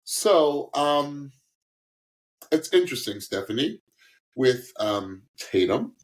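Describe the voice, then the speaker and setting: A man co-hosting a music podcast, giving casual, opinionated commentary on singers in a halting way. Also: mid-range voice, big pitch swings. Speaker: podcast co-host, singing critic.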